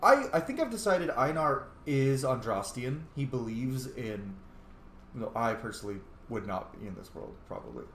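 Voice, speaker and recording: A man's voice: mid-range voice, lively intonation, normal speed, fairly bright tone. A man giving informal, rambling commentary on a video game playthrough, thinking aloud. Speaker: game streamer, role-player. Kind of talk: casual playthrough commentary, reading dialogue choices in character. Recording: roomy, noisy home recording.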